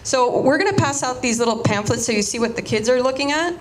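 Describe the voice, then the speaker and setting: A woman's voice: on the high side, expressive, quick pace, soft background music, poor audio. Chatty, informal woman giving an artist talk. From United States, Cuban-American family. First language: Spanish. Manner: informal, chatty, restarts sentences often. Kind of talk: casual artist talk to an audience.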